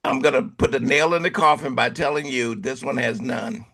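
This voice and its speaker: A man with a rough, gravelly edge to his voice trades critiques of photos at a club meeting. He restarts his sentences often and slips in jokes. His voice has a breathy, worn texture. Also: medium pitch, sharp tone. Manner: halting, joking, many restarts. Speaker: camera club member giving critique. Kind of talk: casual critique chat.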